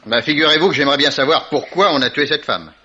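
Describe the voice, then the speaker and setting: An actor playing an indignant, pompous lodger in a vintage French radio mystery, blustering and emphatic. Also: male voice, fairly high pitch, quick pace, sharp tone, breathy edge. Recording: dull vintage radio sound.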